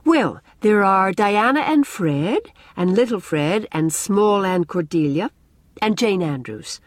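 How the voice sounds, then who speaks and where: A woman voicing a cheerful, romantic young bride-to-be in an audiobook, expressive and lyrical with a teasing touch. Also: medium pitch, slow pace, no room sound, pitched above the performer's own voice. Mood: chatty. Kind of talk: audiobook character dialogue.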